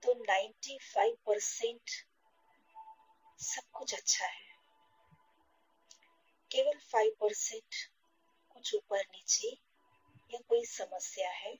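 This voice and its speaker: A woman from India guiding a meditation, in short, measured phrases with pauses between them. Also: fairly high pitch, a little mellow, husky, low-quality recording. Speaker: guided meditation teacher. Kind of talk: slow guided meditation. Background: background music.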